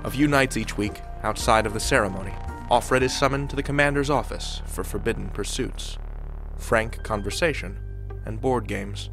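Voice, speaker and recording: A man's voice: fairly low pitch, a bit bright. A man hosting a podcast, reading a scripted, dramatic introduction to a serial story. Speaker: podcast host.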